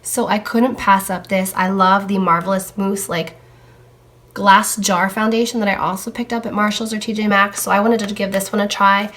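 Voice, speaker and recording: A young woman, slightly hoarse from a cold, chatting casually as she tries out makeup products on camera. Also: mid-range voice, little pitch movement, normal speed, fairly bright tone. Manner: chatty, talking while applying makeup. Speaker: beauty vlogger.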